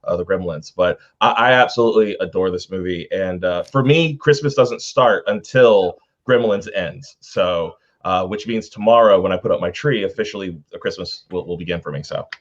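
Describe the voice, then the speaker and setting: A man's voice: sits low, moderate pace, few pauses, lo-fi sound. A man talking casually and emphatically about films as a panelist, gushing like a devoted fan. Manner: emphatic, effusive fan talk.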